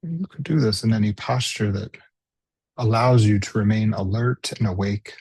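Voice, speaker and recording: A man guides a body scan in a lowish, breathy-husky voice. He speaks gently, leaving room between phrases like an unhurried meditation teacher. The sound is dry, with very little room around it. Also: dry recording.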